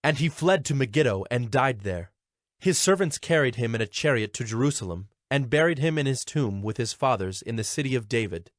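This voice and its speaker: A man narrating scripture in a measured, formal style as part of a dramatized audio Bible. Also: mid-range voice, lively intonation, no room sound, studio quality. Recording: background music under the voice.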